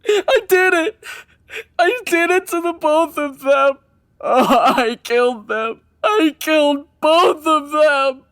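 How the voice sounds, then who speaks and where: A cornered, guilt-ridden killer in a comic radio play. He wails at a high pitch in slow, overwrought phrases. The sound is dry and close. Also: expressive, fairly bright tone, no room sound, heavily acted. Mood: hysterical confessing. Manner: wailing, overwrought. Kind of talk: comedic audio drama acting.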